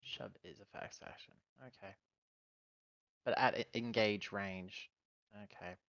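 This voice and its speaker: A man's voice: lowish voice, steady tone, unhurried, fairly bright tone, no echo, muffled recording. A man chatting casually as a player in a tabletop roleplaying game.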